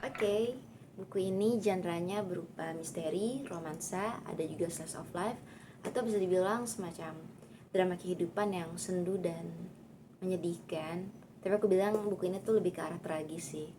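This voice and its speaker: A woman's voice: on the low side, normal speed. A young woman author casually chatting about her own book in an upbeat, filler-heavy way. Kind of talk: casual book talk vlog. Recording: audible background noise.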